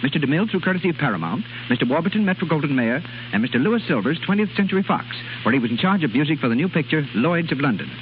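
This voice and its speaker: Male American announcer, fast and lively formal 1930s delivery, thin vintage radio sound. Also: fairly high pitch, faint music behind. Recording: tinny, hissy 1930s radio recording.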